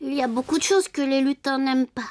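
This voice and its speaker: An actor voicing a cheerful, eager little toy in a French children's cartoon, expressive and friendly. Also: sits high, fast, lightly acted. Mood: playful. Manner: bright-eyed, expressive, friendly. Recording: music behind the voice.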